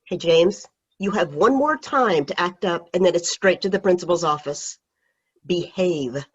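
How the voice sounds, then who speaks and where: A woman voicing a strict high school teacher, stern and commanding, over dull video call audio. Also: expressive, a little slow, husky.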